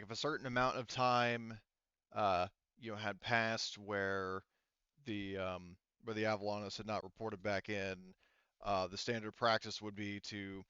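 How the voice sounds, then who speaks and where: Male game master, low smooth voice, halting casual narration, dry lo-fi sound. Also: a bit bright, no room sound. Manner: halting, rambling explanations, casual swearing.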